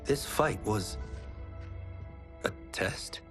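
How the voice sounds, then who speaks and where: A man's voice: on the low side, expressive, very slow, fairly bright tone, heavily acted. A man playing an earnest, modest young fighter in a video game, questioning and understated. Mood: puzzled. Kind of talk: cinematic game dialogue. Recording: cinematic music behind the voice.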